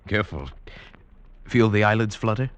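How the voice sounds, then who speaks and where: An actor playing an eager, exasperated lost traveller in a vintage radio drama. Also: male voice, lowish voice, animated, moderate pace, smooth, natural voice. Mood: nervous, friendly. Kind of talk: 1940s-50s radio drama acting with first-person narration. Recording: dull vintage radio broadcast recording.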